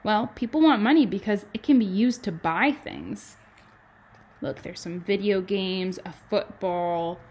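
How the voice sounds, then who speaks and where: A woman, a kindergarten teacher reading to children and adding chatty, animated explanations and questions in simple words. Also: medium pitch, unhurried, highs cut off. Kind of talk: children's read-aloud with chatty asides.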